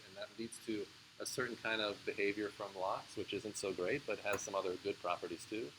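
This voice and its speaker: A man's voice: on the low side, noisy background. A man giving a live university lecture, explaining in a casual way with frequent fillers and answering student questions. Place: lecture room.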